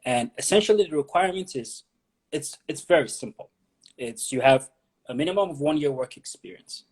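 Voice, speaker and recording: A man's voice: on the high side, lively intonation, brisk, slightly rough. A man from Nigeria, an immigration consultant, explaining pathways as an interview guest, often repeating words as he thinks.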